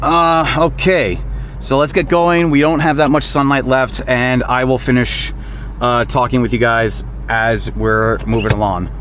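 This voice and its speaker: A man's voice: mid-range voice, measured pace. Chatty man in his thirties driving. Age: 30s. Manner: relaxed and chatty, frequent fillers. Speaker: YouTube creator. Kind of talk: casual vlog while driving. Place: inside a convertible car on the road. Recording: loud road noise in a moving car. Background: loud road and engine noise.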